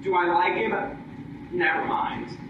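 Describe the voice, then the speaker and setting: A man plays a theatrical old miser who begs and wisecracks through a comic radio play. The delivery is unhurried, caught from a distance in a very echoey space with clear noise behind it. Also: high-pitched, steady tone, phone-quality sound. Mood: wry wisecracking. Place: live stage performance, picked up from afar.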